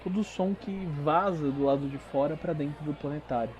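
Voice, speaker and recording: A Brazilian man working as game master, narrating a tabletop RPG audio drama with dramatic pauses and informal asides. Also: on the high side, a little mellow, lo-fi sound. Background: background music.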